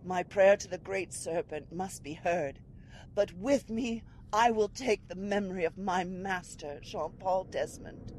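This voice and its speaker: An actress playing a solemn, vengeful occult servant in a gothic audio drama, speaking in a deliberate, incantatory way. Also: female voice, medium pitch, a little mellow, a little hiss or hum, lightly acted. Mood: solemn vengeance.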